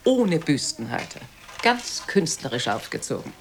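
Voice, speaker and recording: An actress playing an uneasy, resentful wife in a radio play, speaking in an expressive, needling way. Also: female voice, deep voice, measured pace, noisy background, full character voice. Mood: teasing. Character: uneasy, resentful wife watching television with her husband.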